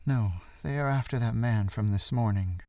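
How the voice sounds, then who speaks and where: A man's voice: mid-range voice, moderate pace, dark tone, no echo, lightly acted. A man acting as a timid, anxious temple man in an audiobook drama, with a hesitant, formal way of speaking. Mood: shaken, subdued. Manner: hesitant, stammering, formal wording.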